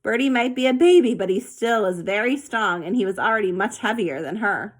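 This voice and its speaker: A woman reading a children's story aloud with an expressive, animated delivery. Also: medium pitch, fairly quick. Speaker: storybook reader.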